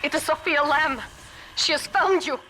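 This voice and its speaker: A woman's voice: high voice, moderate pace, over music, close to an everyday voice, low-quality recording. An actress playing an earnest, wary female scientist guide with a formal, clipped delivery in a video game. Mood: alarmed. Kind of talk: dramatic video game dialogue.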